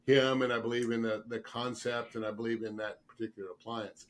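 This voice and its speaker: A man speaks as a guest expert in an interview, explaining things earnestly. His mid-pitched voice is slightly rough as he gets over a cold, and he repeats words for emphasis. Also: level tone, a little fast, a little hiss or hum. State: getting over a cold, throat clearing and coughing. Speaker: guest expert, dentist specializing in dental sleep medicine.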